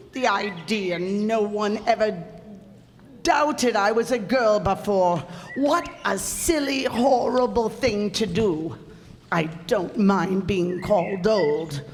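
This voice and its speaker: Woman playing a slow-speaking, proud elderly widow. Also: medium pitch, animated. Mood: indignant, hurt. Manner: flustered, stammering, formal old-fashioned speech. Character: elderly widow, 75, indignant, wistful, proud of her girlhood. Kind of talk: stage radio-play acting. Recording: echoey hall, noisy live stage recording.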